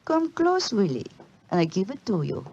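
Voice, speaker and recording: An actress playing a flirtatious, haughty French circus star with a French accent in a 1940s radio drama style. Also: female voice, mid-range voice, wildly swooping pitch, normal speed, warm tone, husky, highs cut off, exaggerated voice. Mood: seductive, coy. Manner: coquettish, theatrical.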